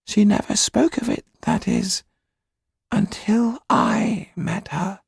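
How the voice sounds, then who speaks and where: A man voicing a solemn older Romany mystic in an audiobook, with a whispery, gravelly, husky voice and slow, hushed storytelling. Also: sits high, no room sound, studio quality, voice pushed up, heavily acted. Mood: grave sorrow. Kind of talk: audiobook character reading.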